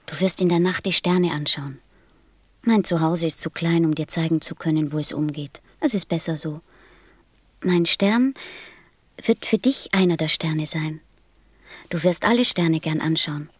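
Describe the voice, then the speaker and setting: A woman playing a gentle, wistful small boy from a star in a German radio play, speaking softly, tenderly and solemnly. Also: low voice, a little slow, husky, dry recording. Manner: tender, solemn, simply spoken.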